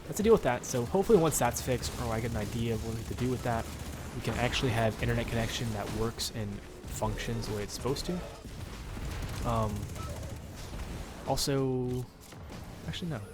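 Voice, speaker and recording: A man's voice: mid-range voice, a little fast, background noise. A young man with a nasal, stuffed-up voice giving rambling, casual commentary while he plays a game at home, full of ums and uhs. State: nasal from a cold. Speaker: gaming YouTuber.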